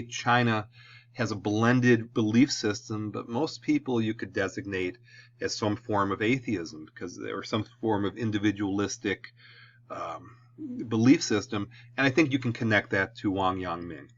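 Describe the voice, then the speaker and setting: A man with a Midwestern American accent teaches a history lesson in a loose, conversational way, stopping often to check in with "okay?". His voice sits fairly low. The recording sounds dull and lo-fi. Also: moderate pace. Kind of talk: classroom lecture video.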